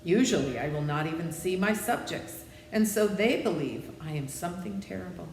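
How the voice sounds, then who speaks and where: A woman's voice: on the low side, expressive, normal speed, a little mellow, roomy sound, long reverb tail, a little hiss or hum, rough recording. A woman voicing a meek little humbug wizard, a trembling, apologetic man in a storybook reading. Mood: anxious. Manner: meek, pleading.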